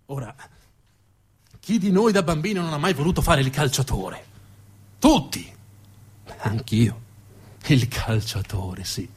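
A man's voice: medium pitch, animated, takes it slowly, long pauses, slightly rough. A man performing a theatrical narrative monologue, dramatic and emphatic, building on repeated phrases. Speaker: actor and monologue storyteller.

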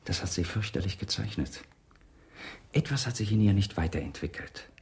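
A man's voice: sits low, expressive, moderate pace, darkish tone, husky, heavily acted. An actor playing a big, devoted toy maker in his forties in a radio crime drama, earnest and explanatory. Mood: earnest, reflective. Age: 40s.

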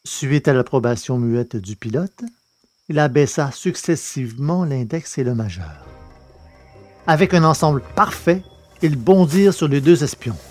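Man reading a novel aloud, steady. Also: medium pitch, measured pace, faint music behind. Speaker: audiobook narrator. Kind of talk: scripted narration of a science-fiction novel.

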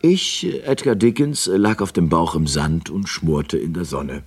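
Vintage radio crime play: dry writer-narrator with a very deep voice. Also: male voice, lively intonation, measured pace, husky, full character voice. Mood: wry narration. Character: dry, grumbling male writer narrating his holiday.

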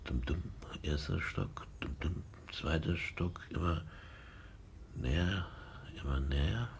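Radio drama: haunted man in midlife, very low voice, brooding storyteller, faint rumbling noise. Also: slow, heavily acted. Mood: brooding, sardonic. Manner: brooding storytelling, dropping to hushed tones. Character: haunted middle-aged man, brooding and sardonic.

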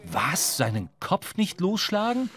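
A man's voice: sits high, huge pitch swings, measured pace, a bit bright, full character voice. A man playing a wry, brooding seafarer who narrates his own adventure in a literary radio drama. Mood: puzzled, wary. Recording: clear music behind the voice.

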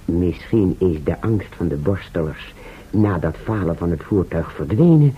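An actor in a radio drama playing a solemn, courteous fifteenth-century man, formal and stately, with a husky voice. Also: deep voice, lively intonation, unhurried, slight background noise. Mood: grave, polite. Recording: dull old radio recording.

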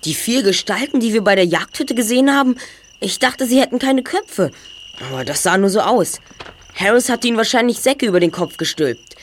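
A woman's voice: a little high, lively intonation, brisk, natural voice. A woman playing a clever, confident teenage boy detective who explains precisely in an audio drama. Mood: confident, reasoning. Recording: faint noise, chirping crickets.

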